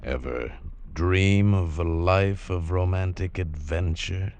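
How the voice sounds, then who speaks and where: Slow, deep-voiced male radio announcer. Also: a little mellow. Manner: solemn and deliberate.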